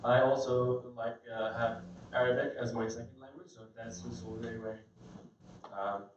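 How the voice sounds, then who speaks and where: A man, a software engineer, offering help in a quiet, hesitant way with many fillers. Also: medium pitch, level tone, normal speed. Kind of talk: short announcement. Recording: echoey room, far from mic, dull, faint hiss.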